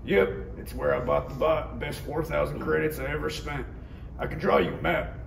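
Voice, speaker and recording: A man's voice: fairly high pitch, level tone, normal speed, creaky. A man playing an easygoing, fatherly old cook with a folksy, reassuring manner, reading from a parody script. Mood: warm, amused. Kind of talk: live table read of a parody script. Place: room at a table read. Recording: roomy distant recording with faint noise.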